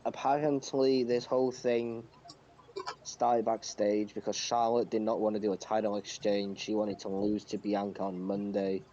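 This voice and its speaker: A man's voice: on the low side, level tone, moderate pace, mellow. A man co-hosting a podcast, casually recapping news, recorded on a dull lo-fi mic with faint noise. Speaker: podcast co-host.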